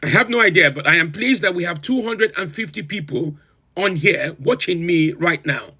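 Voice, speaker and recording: A man's voice: sits high, moderate pace, pushed and bright, husky, heavily acted. A man playing a pompous self-styled president of a comic republic, who hosts a livestream and reels off long lists in theatrical disbelief. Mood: bemused. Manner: incredulous, theatrical, lists items with rising emphasis. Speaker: livestream host. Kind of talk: comedic in-character livestream rant.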